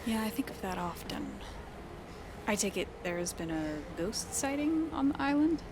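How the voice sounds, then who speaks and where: A woman's voice: fairly high pitch, big pitch swings, moderate pace. Woman as curious journalist over noisy background. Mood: polite, dry. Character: curious, polite female journalist, dryly reserved. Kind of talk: audio screenplay acting.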